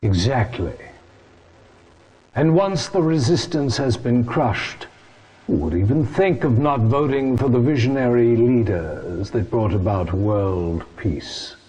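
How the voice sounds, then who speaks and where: A man's voice: mid-range voice, lively intonation, slow pace, warm tone, breathy edge. An actor playing a scheming, imperious male chairman, smug and formal, in a video game cutscene. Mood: smug, calculating.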